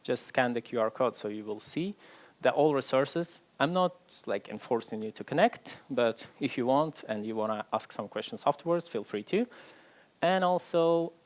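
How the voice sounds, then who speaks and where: A man's voice: medium pitch, animated, normal speed. A man from Ukraine, giving a chatty, self-deprecating tech conference talk on stage to a live audience. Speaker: conference speaker.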